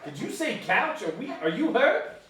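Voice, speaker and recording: A man's voice: very high-pitched, sing-song, moderate pace, exaggerated voice. A man playing a frantic, baffled, foul-mouthed fisherman at a table reading, firing off incredulous questions. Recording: very echoey room, distant mic, loud noise.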